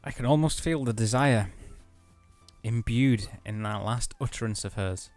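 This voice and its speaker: A man's voice: mid-range voice, a little slow, a little mellow, no room sound, faint music behind. A man co-hosting a let's play, casually commenting and reading game text aloud.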